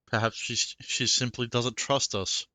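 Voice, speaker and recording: A man's voice: medium pitch, level tone, measured pace, bright, forceful tone, dry recording. A man streaming a game, reading dialogue choices aloud and tossing in short quips.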